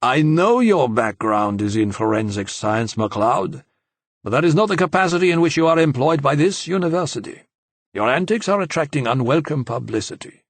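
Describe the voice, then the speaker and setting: A man's voice: a little high, animated, normal speed, no echo, pitched above the performer's own voice. A man voicing a fussy, pompous male university president in an audiobook, testy and scolding. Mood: reproachful. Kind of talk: audiobook character acting.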